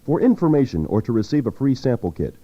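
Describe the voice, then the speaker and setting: Very dark, mellow male announcer. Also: mid-range voice, big pitch swings, quick pace. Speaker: public service announcer. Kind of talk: scripted radio announcement. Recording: dull lo-fi radio recording.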